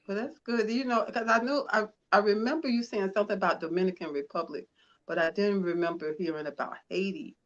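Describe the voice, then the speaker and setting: A woman's voice: medium pitch, brisk, a bit bright. Woman host with a dull lo-fi sound. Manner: rambling questions with restarts. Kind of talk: casual interview chat.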